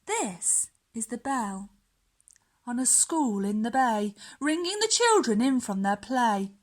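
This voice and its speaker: A woman reads a children's storybook aloud. Her voice sits a little high and her pace is extremely unhurried. She is dramatic and measured, letting each rhyme linger. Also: sing-song. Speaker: teacher reading a storybook aloud. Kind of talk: expressive scripted children's story reading.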